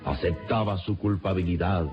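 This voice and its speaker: A man's voice: sits low, fairly even intonation, normal speed, loud music behind the voice. A man narrating a scripted radio serial in a solemn, theatrical style. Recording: muffled lo-fi radio recording.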